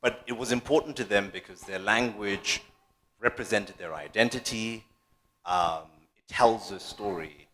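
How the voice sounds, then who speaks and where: A man's voice: lowish voice, unhurried, a bit bright, slight background noise. A man from South Africa whose first language is Afrikaans, moderating a panel discussion and asking thoughtful questions with frequent restarts. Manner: thoughtful, hesitant with repeated restarts.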